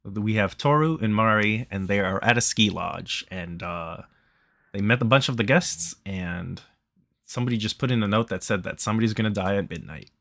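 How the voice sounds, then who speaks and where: Lowish-voiced man streaming a visual novel. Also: moderate pace, gravelly, fairly bright tone, no room sound, studio quality. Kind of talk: casual live stream chat and narrated read-aloud.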